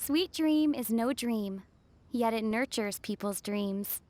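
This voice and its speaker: A calm wanderer from a video game. She recites verse in a slightly high voice. Each line comes very slowly and deliberately. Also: slight background noise.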